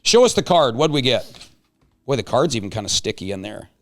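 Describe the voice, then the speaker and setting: A man's voice: mid-range voice, big pitch swings, a little fast, a bit bright. A man hosting a casual talk show, chatting with guests in a playful, joking way. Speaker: show host.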